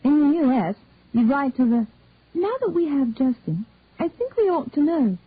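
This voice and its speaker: A woman's voice: high voice, big pitch swings, moderate pace, voice pushed up. A woman voicing an insistent young wife who pleads with and demands answers from her parents in a story. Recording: narrowband, tinny phone-like sound.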